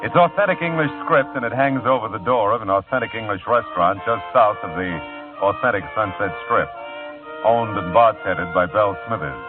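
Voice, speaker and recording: Guy doing a deep laconic gumshoe, wisecracking, tinny old radio sound with music under it. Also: brisk, close to an everyday voice. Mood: wry. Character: hard-boiled wisecracking male private eye. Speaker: detective narrating his own case. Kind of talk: 1950s radio drama acting.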